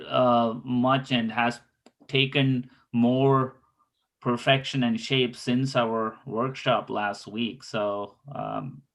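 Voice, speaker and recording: A man's voice: medium pitch, gravelly, a bit bright, low-quality recording. A man giving casual, encouraging feedback as the leader of a writing workshop. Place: online video meeting.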